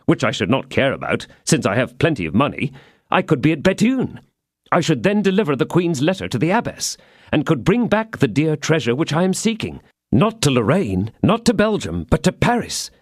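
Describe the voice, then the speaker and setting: A man's voice: on the high side, dry recording, studio quality, pitched above the performer's own voice. A man voicing an impulsive, eager young male swordsman in an audiobook, talking in a raised, urgent voice. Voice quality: excited, urgent.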